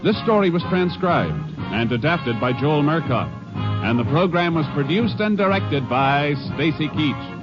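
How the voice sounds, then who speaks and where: A man's voice: on the high side, lively intonation, measured pace. A man announcing in formal, polished, scripted mid-century network radio style. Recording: muffled old broadcast, loud music.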